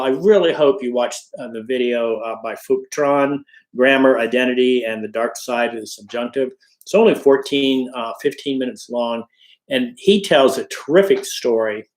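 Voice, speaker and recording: A man's voice: mid-range voice, reverberant. A middle-aged man, a college instructor, giving an informal recorded lecture and tagging his sentences with "okay?" and "all right?". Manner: conversational, frequent "okay?" and "all right?" check-ins.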